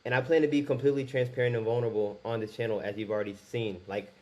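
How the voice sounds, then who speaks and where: A man's voice: medium pitch, flat delivery, very fast, darkish tone, highs cut off. A man in his twenties talking candidly to camera in his first video, rambling a little and restarting his sentences. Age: 20s. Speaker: YouTuber. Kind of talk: casual talk to camera.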